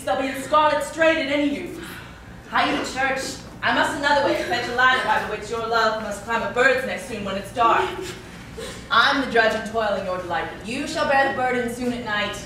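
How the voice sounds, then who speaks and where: Distant echoey recording, woman as old female nurse, bright voice, chatty, teasing, complaining, classical stage drama. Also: a little high. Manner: rambling, theatrical, exclamatory. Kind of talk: classical stage acting of Shakespeare. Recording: echoey room, distant mic, faint noise.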